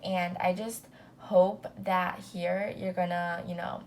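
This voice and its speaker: A woman's voice: fairly low pitch, level tone, takes it slowly, darkish tone, a little hiss or hum. A young woman talking casually as a business owner to a new intern, upbeat and full of fillers. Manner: chatty, upbeat, full of ums and "you know".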